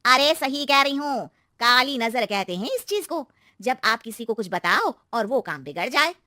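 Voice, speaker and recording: Woman putting on a knowing, high-voiced neighbourhood aunty. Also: animated, normal speed, sharp tone, dry recording, clean studio sound. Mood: ominous warning. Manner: emphatic, knowing, cautioning. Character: gossipy, superstitious older neighbourhood aunty, knowing and cautioning. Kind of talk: acted story dialogue.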